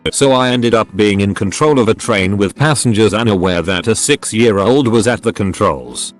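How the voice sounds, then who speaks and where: A man's voice: lowish voice, moderate pace. A man narrating short online stories in a scripted, recited read-aloud style. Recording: music behind the voice. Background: background music.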